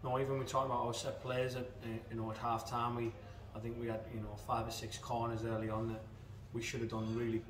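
A man in his thirties gives a post-match interview as assistant football manager, talking in an even, level tone and restarting his sentences often. His voice bounces around a roomy space, picked up from a distance. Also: medium pitch, fast, hardly any pauses, background noise. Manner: hesitant, many fillers and restarts. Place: indoors in front of a club sponsor backdrop. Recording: echoey room, mic at a distance.

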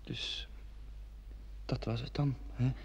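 An actor playing a subdued, resigned gentleman in an old radio drama. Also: medium pitch, fairly even intonation, slow, gravelly, slightly rough, full character voice. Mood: subdued, polite. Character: subdued, resigned gentleman parting from his sweetheart. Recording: dull vintage radio play recording.

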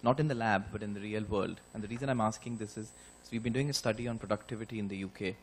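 A man asking a measured, articulate question from the audience in a large lecture hall. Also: lowish voice, steady tone, a little hiss or hum.

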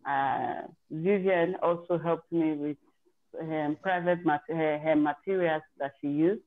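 A woman's voice: sits low, steady tone, a little slow. Woman on a zoom call sharing how she prepped, chatty and candid, tinny phone-ish sound. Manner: chatty and candid, with self-corrections. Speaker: participant sharing her exam success story. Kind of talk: casual storytelling on a group video call. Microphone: video call.

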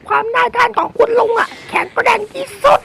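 A woman's voice: extremely high pitch, quick pace, a bit bright, pitched above the performer's own voice, heavily acted. A woman voicing a disgusted citizen heckling in a game skit with screechy insults. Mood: defiant. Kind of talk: improvised game role-play skit. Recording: clear background noise.

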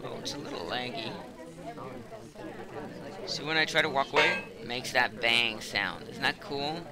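A man's voice: medium pitch, unhurried, a loud crowd. A man with a cold, casually commenting on his own gameplay while he plays, rambling with lots of fillers. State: hoarse from a cold.

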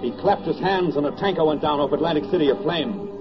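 An actor playing a grave, bitterly ironic wartime narrator in a 1940s radio drama, speaking in measured, declamatory phrases. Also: male voice, on the high side, lively intonation, lightly acted. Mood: sardonic. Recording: dull vintage 1940s radio recording, music behind. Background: music.

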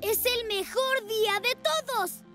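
A performer voicing an eager young boy motorcycle in a children's cartoon, with excited, commanding exclamations. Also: very high-pitched, takes it slowly. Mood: delighted. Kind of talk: animated children's cartoon dubbing. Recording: music behind the voice.

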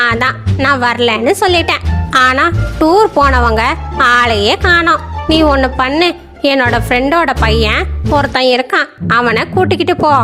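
Woman voicing a high-pitched old granny tortoise. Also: close to an everyday voice. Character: slow patient old grandmother tortoise, kindly adviser.

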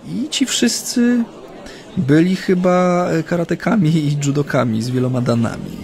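An actor playing a chatty, nervous Japanese man steeped in Polish ways, speaking haltingly in naturalistic radio drama dialogue. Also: fairly high pitch, darkish tone, natural voice. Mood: animated reminiscing. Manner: hesitant, halting, with many hems and repetitions.

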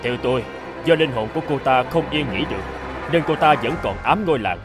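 A man acting frightened, superstitious village men in an animated folktale, with a dramatic, exclamatory delivery. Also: medium pitch, fairly quick, music in the background, close to an everyday voice. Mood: anxious.

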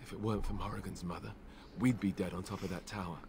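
A man's voice: low-pitched, steady tone, fast, noisy background. A young man acting an earnest, anxious warrior in a fantasy game, hesitant and naive in his delivery. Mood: grieving, shaken. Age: young adult.

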